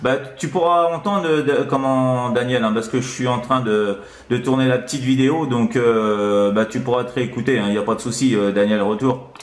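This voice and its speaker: Halting man in an echoey room. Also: medium pitch, fairly even intonation. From France. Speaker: amateur radio operator. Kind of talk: casual radio contact chat. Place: indoors, sixth-floor apartment.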